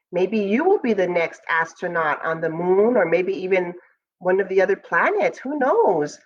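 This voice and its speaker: Brief: female school storyteller, expressive and lively, unhurried, addressing children directly, slightly dull audio. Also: medium pitch. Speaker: school staff member reading a picture book to pupils. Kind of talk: children's read-aloud with asides.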